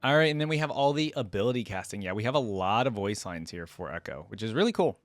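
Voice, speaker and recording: A man's voice: lowish voice, animated, fast talker, dry recording, faint music behind. A man hosting a reaction video, giving casual off-the-cuff commentary as he goes.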